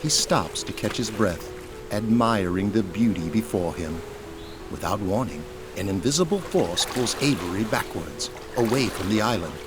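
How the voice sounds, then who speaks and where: A man's voice: lowish voice, lively intonation, moderate pace, music in the background. A man reading scripted dramatic narration for an audio drama.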